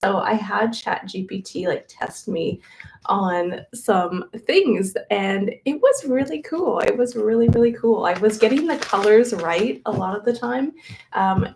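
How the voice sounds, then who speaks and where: Soft-voiced woman chatting on a livestream. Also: mid-range voice, noisy background. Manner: rambling, with many "um"s and "like"s and self-corrections. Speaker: tarot reader hosting a livestream. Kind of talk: casual livestream chat. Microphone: new microphone close to her.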